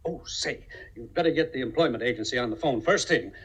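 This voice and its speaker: An actor playing a pompous, bossy senior business partner, formal and blustering, in 1950s radio comedy-drama. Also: male voice, mid-range voice, fast, breathy edge. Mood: brisk, jovial. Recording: old 1950 radio broadcast.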